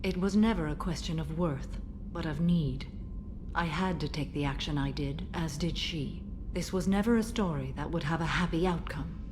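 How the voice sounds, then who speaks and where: Solemn ancient warrior mother, low voice, played by a woman. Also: noisy background, close to an everyday voice. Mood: sorrowful, solemn. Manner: solemn, formal, measured. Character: stern, sorrowful, centuries-old alien warrior mother. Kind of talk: video game character acting. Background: game music.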